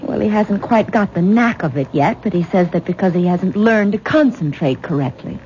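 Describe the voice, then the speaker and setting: A woman playing an anxious, exasperated wife in a 1970s radio drama, halting and repeating words as she insists. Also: mid-range voice, expressive, a little mellow, close to an everyday voice. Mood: resolute, exasperated. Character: anxious, exasperated wife and young mother, adult daughter. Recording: dull, noisy 1970s radio broadcast recording.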